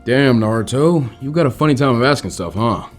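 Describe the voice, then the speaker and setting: Man acting a laid-back ninja teacher, lively delivery. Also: mid-range voice, brisk, faint music behind, natural voice. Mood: casual, amused. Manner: cool, casual, gently teasing. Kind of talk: fan fiction audiobook character voice.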